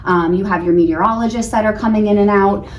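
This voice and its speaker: A woman's voice: medium pitch, quick pace, lo-fi sound. A woman from New Hampshire with an American accent, a teacher giving chatty, earnest answers in a casual interview. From New Hampshire, United States. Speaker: elementary school teacher being interviewed. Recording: roomy, distant mic, faint background noise.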